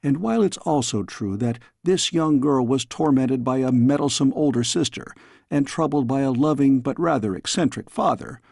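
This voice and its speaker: A man reading a storybook as scripted audiobook narration, in a measured, expressive storytelling way. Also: medium pitch, creaky, breathy edge, no echo, clean studio sound. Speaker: audiobook reader.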